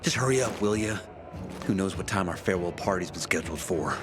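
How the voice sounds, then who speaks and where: A low-voiced, wisecracking prisoner, wildly animated. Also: male voice, quick pace. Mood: wheedling. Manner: joking, wheedling storyteller, swinging into exclamations. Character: wisecracking male prisoner, fast-talking scoundrel who jokes through misery. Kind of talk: video game cutscene acting. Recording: music behind the voice.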